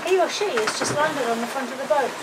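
A woman's voice: sits high, lively intonation, fast talker. Woman, middle-aged, upbeat vlog chat, handheld camera on a catamaran, heavy wind noise. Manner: chatty, upbeat and cheerful. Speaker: sailing vlogger. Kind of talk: casual vlog narration to camera. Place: on a sailing catamaran at sea. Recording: loud wind and engine noise.

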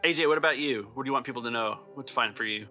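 A man hosting as game master, narrating casually and haltingly in an informal way. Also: mid-range voice, sharp tone, faint music behind.

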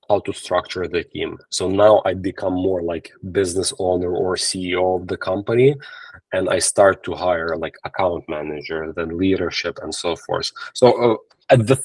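A man's voice: sits low, slightly rough, dry recording, low-quality recording. Ukrainian-accented man on a video call. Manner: animated storytelling in simple non-native English, frequent restarts. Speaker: agency owner, podcast guest. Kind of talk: casual interview chat. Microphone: video call from a laptop or phone camera.

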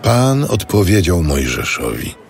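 A man narrating a scripted biblical audio drama in a solemn, measured manner. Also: sits low, sing-song, creaky, a little hiss or hum.

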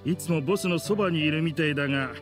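A man playing an easygoing, loyal gang henchman in an anime game, with rough, frank, casual speech. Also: a little high, fast, natural voice. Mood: frank, teasing. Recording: clear background music behind voice.

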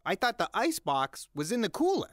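A woman's voice: mid-range voice, huge pitch swings, a little fast, dry recording, clean studio sound. A woman playing a sulky, defensive, slightly dim henchman in a crime comedy audio drama.

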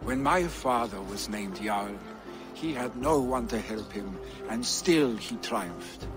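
A man playing a restless young Viking warrior, a grieving jarl's son, in a video game cutscene, speaking in an earnest, measured way. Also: mid-range voice, lively intonation, music in the background. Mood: restless, frustrated. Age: young adult.

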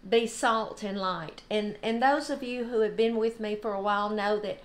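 An older woman giving an informal, unhurried devotional talk. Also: medium pitch. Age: older, about 70s. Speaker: devotional host. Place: home kitchen, indoors.

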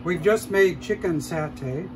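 Man, cooking host, slow and step-by-step, slightly high voice, clear mains hum behind. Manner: unhurried, step-by-step explaining while cooking. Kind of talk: casual cooking demonstration. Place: shop demo kitchen, indoors.

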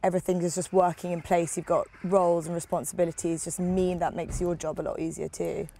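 A woman's voice: fairly low pitch, flat delivery, fairly quick, mellow, a little hiss or hum. A woman working as a television interviewer, asking questions in a conversational way outdoors. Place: outdoors in a garden.

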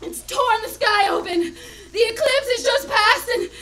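A woman's voice: very high voice, unhurried, bright, forceful tone, full character voice. A woman playing a terrified radio reporter giving melodramatic eyewitness commentary in an old-time radio drama on stage. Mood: shocked, anguished. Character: terrified female radio reporter witnessing a monster on the loose. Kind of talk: 1920s radio drama acting. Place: dark small theater stage. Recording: live stage show, music and audience behind.

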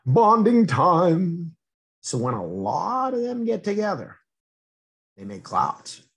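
A man's voice: on the high side, wildly swooping pitch, unhurried, creaky, a bit bright, husky. A man reading a children's picture book aloud expressively, addressing young listeners with questions and asides. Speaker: read-aloud storyteller.

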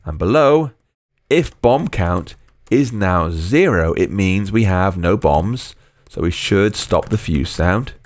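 A man's voice: sits low, animated, takes it slowly, no room sound, clean studio sound. A man narrating a screencast coding tutorial as a programming tutor, explaining step by step and dictating code word by word.

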